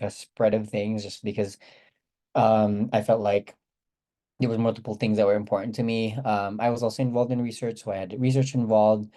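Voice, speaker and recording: A young man in his twenties, a student panelist, speaking in a relaxed, hesitant way over a video call. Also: on the low side. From Northern California. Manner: relaxed, hesitant, many "um" fillers. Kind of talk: casual panel talk.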